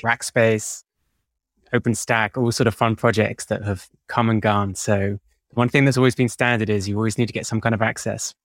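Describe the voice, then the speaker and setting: A man's voice: fairly low pitch, a bit bright, no room sound, clean studio sound. A man with a British accent, explaining technical work informally as a podcast guest. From United Kingdom. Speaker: podcast guest, DevOps engineer.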